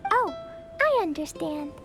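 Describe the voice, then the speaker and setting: Cheerful young girl, fantasy game, extremely high voice, slow-paced delivery, eager. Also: very animated, a bit bright, full character voice. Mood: excited, eager. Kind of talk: anime-style game voice acting. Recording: music behind the voice.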